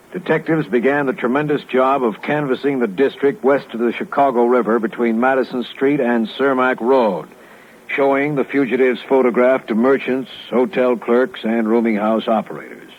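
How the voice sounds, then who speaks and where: An actor playing an earnest American narrator, delivering scripted narration for a 1950s radio crime show. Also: male voice, mid-range voice, normal speed, lightly acted. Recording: muffled, noisy old radio recording.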